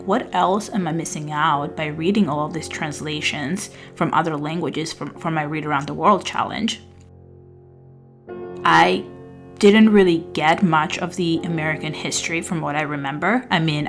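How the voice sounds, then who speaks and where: Low-ish voiced woman, albanian native speaker, chatting about books she read, soft music behind. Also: normal speed. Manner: conversational, with fillers and restarts, partly read-out summaries. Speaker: book vlogger. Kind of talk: casual book review over journaling.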